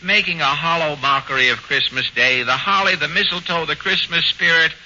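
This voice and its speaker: A man hosting a 1940s radio comedy, dry and mock-stern with quick quips. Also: fairly high pitch, pushed and bright. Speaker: comedy show host. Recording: dull old radio broadcast, faint hiss.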